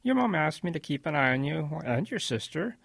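A husky, creaky old grandfather reminisces in a radio drama. His pitch swings in lively, gentle storytelling, and the recording is close and dry. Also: a little fast, no echo, exaggerated voice. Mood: warm, doting. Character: kindly old grandfather reminiscing about wartime.

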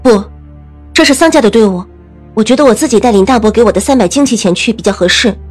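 A woman's voice: fairly high pitch, fast talker, slightly rough, soft background music. A woman playing a confident young woman general in a period audio drama, decisive and composed. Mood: firm, assured.

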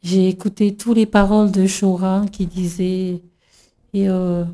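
A middle-aged woman from New Caledonia giving an emotional religious testimony, halting with many fillers and restarts. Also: mid-range voice, level tone, warm tone. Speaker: church member giving testimony.

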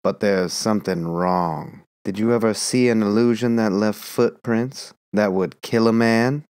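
A woman's voice: extremely low pitch, unhurried, a little mellow, smooth, no room sound, clean studio sound, pitched below the performer's own voice. A woman voicing a nervous man who speaks in an uneasy, earnest warning tone.

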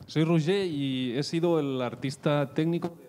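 A man introducing himself informally and a little haltingly on a panel. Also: fairly high pitch, darkish tone. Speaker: panelist, technical artist. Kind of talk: informal self-introduction at a talk. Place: small theatre stage, panel table. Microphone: handheld microphone.

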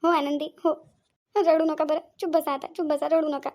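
A woman's voice: sits high, level tone, normal speed, darkish tone, close to an everyday voice. A woman playing a tender, earnest young married village woman in a family drama, with a Varhadi Marathi accent and an emotive, pleading way of repeating phrases. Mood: tender, consoling. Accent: Varhadi Marathi from Vidarbha. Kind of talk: amateur family drama acting.

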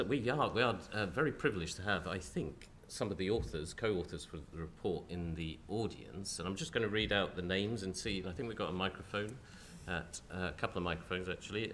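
Man chairing a panel, very low croaky voice with a cold, faint hiss behind, hesitant restarts. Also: moderate pace, few pauses, a bit bright. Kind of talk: panel discussion. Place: lecture hall with audience.

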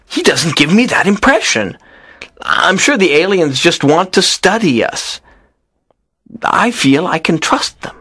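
A lonely teenage schoolboy in a storybook drama acted out by a man, earnest and pleading. His pitch moves around a great deal as he makes his case like a determined, unhappy dreamer. The sound is dry and close. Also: sing-song, a little slow, pushed and bright, slightly rough, dry recording, heavily acted.

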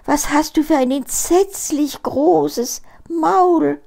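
A woman's voice: high voice, slow pace, vocal fry, darkish tone, pitched above the performer's own voice, full character voice. An elderly woman voicing an innocent, wondering little girl in a fairy tale, earnest and exclaiming. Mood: anxious wonder.

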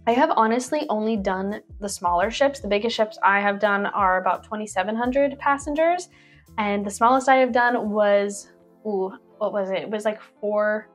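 A woman's voice: mid-range voice, fairly quick, faint music behind. A young woman in her twenties, a cruise ship performer, chatting casually to camera as she reads out viewer questions and answers them with lots of fillers and little laughs, recorded in a small bedroom on a lapel mic. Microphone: clip-on lapel mic.

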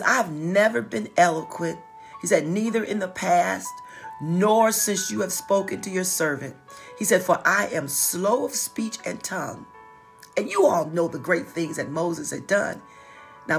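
A woman's voice: medium pitch, moderate pace, gravelly, faint music behind. A middle-aged woman preaching informally as a pastor, repeating phrases for emphasis, with a slight stutter. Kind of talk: informal livestreamed sermon. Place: small wood-paneled office.

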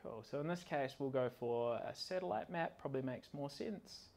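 A man gives a live technical demo to a meetup in an indoor venue. His pitch is mid-range for a man, and his delivery is casual, slightly halting as he operates the software while he talks. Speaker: conference speaker, software consultant. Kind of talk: informal technical demo presentation. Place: indoor meetup venue with large windows and projector screen.

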